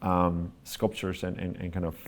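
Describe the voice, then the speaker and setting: A male artist giving thoughtful interview answers, pausing often to search for the right words. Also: low voice, completely flat delivery.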